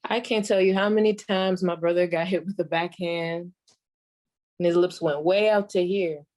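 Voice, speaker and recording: A woman's voice: lowish voice, steady tone, normal speed, darkish tone, lightly acted. A woman playing a sassy, folksy old female comedian doing a wry 1940s radio stand-up monologue.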